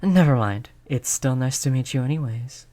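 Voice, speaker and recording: A performer voicing a polite vampire who looks like a child, gentle, reassuring and chatty in an audio roleplay. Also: medium pitch, normal speed, natural voice. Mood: welcoming. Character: polite vampire turned young, stuck looking like a child. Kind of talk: audio roleplay spoken to the listener.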